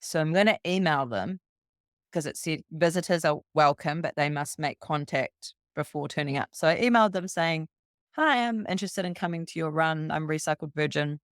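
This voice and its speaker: A woman from New Zealand with a New Zealand accent, guesting on a podcast and telling a long, brisk travel story full of quoted dialogue. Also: low-pitched, no echo, studio quality. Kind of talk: casual storytelling.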